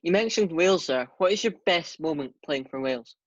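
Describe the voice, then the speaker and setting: A man's voice: on the high side, expressive, brisk, no room sound, dull audio. A man asking short interview questions and signing off the episode. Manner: brief, plain questions and sign-off. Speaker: interviewer, channel host.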